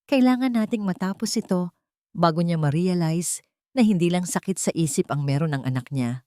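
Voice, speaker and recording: A woman's voice: lowish voice, normal speed, warm tone, no echo, close to an everyday voice. A cold, scheming señora from a melodramatic audio drama, played by a woman narrator. She gives orders and threats with bitter authority, in a dry, close recording. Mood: impatient. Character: menacing, bitter, scheming rich matriarch. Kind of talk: Filipino audio drama acting.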